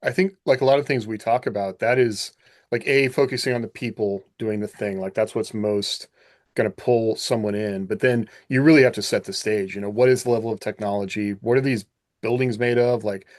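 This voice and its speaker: A man's voice: on the low side, fairly even intonation, a little fast, dry recording, studio quality. A man hosting a podcast in casual chat, musing aloud and posing questions. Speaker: podcast host.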